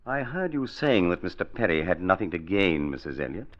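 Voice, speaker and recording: A scheming manservant in an old radio thriller. His very deep voice swings widely as he insinuates with formal, deferential menace. The old recording is dull and lo-fi. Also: sing-song, a little fast. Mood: smugly insinuating. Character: cunning, menacingly polite manservant with a gun. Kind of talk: 1940s radio mystery acting.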